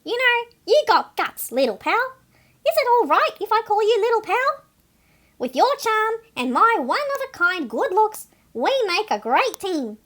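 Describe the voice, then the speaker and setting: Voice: extremely high pitch, very animated, a little slow, fairly bright tone, full character voice. A reader voicing a boastful, melodramatic seagull in a children's picture book, with a brash, wisecracking, theatrical delivery. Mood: cocky, scheming. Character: boastful, melodramatic cartoon seagull, streetwise snack thief. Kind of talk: animated picture-book read-aloud for children.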